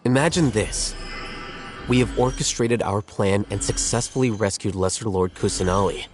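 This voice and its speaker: A man voicing a calm, scholarly strategist in a video game, speaking in a measured, explanatory way. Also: lowish voice, natural voice. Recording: game audio with faint music.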